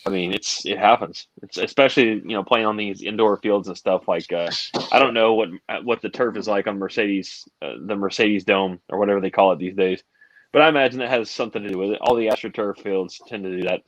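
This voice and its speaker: Fast-talking man, dry lo-fi sound. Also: lowish voice. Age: 30s. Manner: rambling, explains with hand-wavy comparisons. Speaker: podcast co-host. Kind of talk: casual chat.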